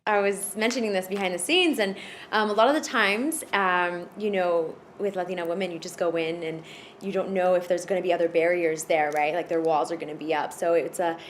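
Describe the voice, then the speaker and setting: A woman's voice: fairly low pitch, steady tone, brisk, slight background noise. A woman from Florida, a podcast guest, telling her personal story in a casual, hesitant way with lots of fillers and restarts. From United States (Florida).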